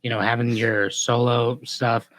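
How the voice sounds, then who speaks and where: A man's voice: medium pitch, flat delivery, measured pace, fairly bright tone. A man hosting a casual interview, talking informally with loose, hedging phrasing. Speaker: podcast host.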